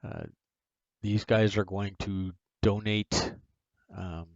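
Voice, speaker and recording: A man's voice: on the low side, fairly even intonation, slow, gravelly, dry recording, highs cut off. A man streaming a video game with casual, rambling commentary, thinking aloud as he plays. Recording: game music behind the voice. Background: video game music.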